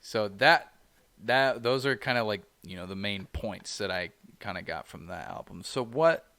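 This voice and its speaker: A man with a low, smooth, resonant voice talks in a loose, rambling way, leaning on "you know" between thoughts. His tone is bright and forward. The sound is dry and close, with no room echo. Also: moderate pace. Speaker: podcast co-host. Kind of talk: casual chat.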